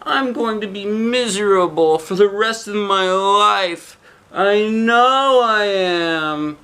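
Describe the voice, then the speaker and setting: Storybook little girl, played by a man: miserable, whiny, high-pitched, dragging her words. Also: pushed and bright, clean tone, exaggerated voice. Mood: miserable, tearful. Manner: mournful, whiny, drawn-out delivery.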